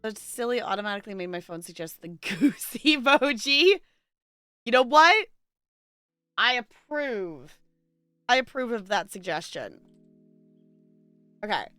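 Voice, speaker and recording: A woman's voice: fairly high pitch, sing-song, measured pace, long pauses, bright, forceful tone, smooth, dry recording, studio quality, faint music behind. A woman hosting a livestream, chatting casually with viewers and thinking aloud in a rambling way. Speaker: livestream host. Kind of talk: casual chat with live stream viewers.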